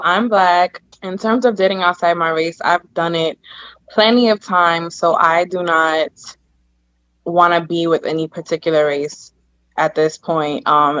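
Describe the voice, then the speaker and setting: A woman's voice: low voice, a bit bright, no echo. A woman in her twenties, a guest in a casual group chat, matter-of-fact. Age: 20s. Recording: dull dry lo-fi sound.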